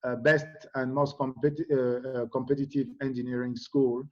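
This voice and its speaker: A middle-aged man from Cameroon, a guest in a conversational interview, speaking in a halting way with many fillers and restarts. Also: medium pitch, little pitch movement, a little mellow, dull audio.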